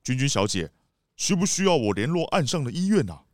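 A man playing a polite, worried city mayor who tries to calm people in a radio drama. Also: mid-range voice, fairly quick, dry recording, clean studio sound, lightly acted. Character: anxious, placating city mayor hosting a cruise.

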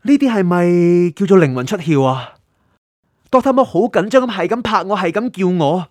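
A man's voice: high voice, expressive, moderate pace, dry recording. An actor with a Hong Kong Cantonese accent playing an anxious, curious male writer who narrates his story introspectively in a radio drama. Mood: tense recounting. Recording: music under the voice.

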